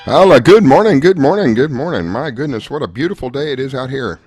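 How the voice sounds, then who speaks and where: Hoarse man hosting a radio show. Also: mid-range voice, lively intonation, a little fast, darkish tone, faint music behind. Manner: chatty, rambling, with frequent restarts and fillers. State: hoarse after a late night. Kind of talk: casual talk-radio chat.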